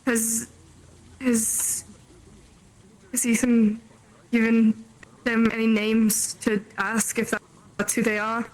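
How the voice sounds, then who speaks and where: A woman with a slightly high voice speaks in improvised roleplay. Her delivery is slow and deliberate, with halts and repeated phrases. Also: monotone, rough recording. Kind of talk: improvised roleplay dialogue on a livestream.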